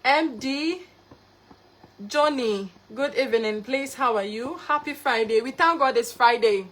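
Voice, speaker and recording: A woman's voice: high-pitched, unhurried. Ghanaian woman greeting her livestream viewers. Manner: warm, repetitive greetings of viewers by name. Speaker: cooking livestreamer. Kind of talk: live cooking stream, greeting viewers.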